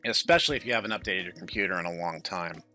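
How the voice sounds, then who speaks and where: A man's voice: deep voice, very fast, pushed and bright. A man hosting a let's-play, chatting casually and going off on opinionated, digressive asides over the game. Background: music.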